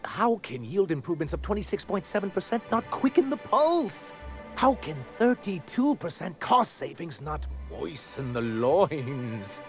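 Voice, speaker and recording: An actor playing a pompous, melodramatic corporate executive with florid, grandiose speech in a video game. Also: male voice, fairly high pitch, big pitch swings, a little slow, soft background music. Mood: pleased, boastful. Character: pompous, theatrical corporate executive man, easily wounded and melodramatic. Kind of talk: video game character acting.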